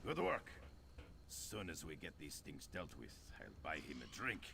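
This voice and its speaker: An actor playing a gruff, weary fantasy-game sergeant, blunt and plain-spoken, with a deep gravelly voice. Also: male voice, huge pitch swings, a little slow, noisy background, full character voice. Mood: gruff, approving. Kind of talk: video game character acting.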